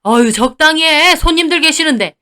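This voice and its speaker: A woman's voice: high-pitched, expressive, a little fast, pushed and bright, pitched above the performer's own voice. Woman acting an exasperated older father-in-law. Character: irritated older father-in-law hushing his wife.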